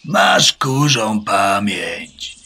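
A man's voice: lowish voice, sing-song, extremely slow, gravelly, pushed and bright, slightly rough, heavily acted. A man voicing a skeptical, pompous male barnyard bird in a children's audio play, dry and fussy in manner.

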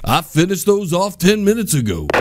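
An actor playing a cocky, grouchy older street-tough uncle with a brash, grumbling manner in a comedy audio drama. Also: male voice, high voice, very animated, normal speed. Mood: grumpy. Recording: loud music behind.

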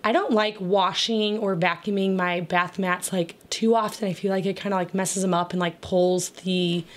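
Brief: young woman, naturally raspy and crackly voice, mid-pitched, chatty home vlogger, loose and rambling. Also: steady tone, moderate pace. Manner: chatty, rambling, with frequent fillers and laughs. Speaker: homemaking vlogger. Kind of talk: casual voice-over chat.

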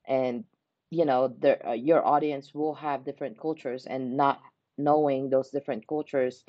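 A young woman from the Philippines, speaking in an unscripted, reflective way. She pauses often to search for her words. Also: very deep voice, steady tone, no room sound, highs cut off. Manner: unhurried, pausing to search for words. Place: small indoor room by a window.

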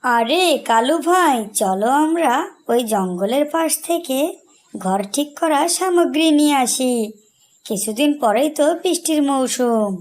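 A woman's voice: high voice, expressive, measured pace. A woman voicing a small, practical and earnest bird in a children's cartoon. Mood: urging.

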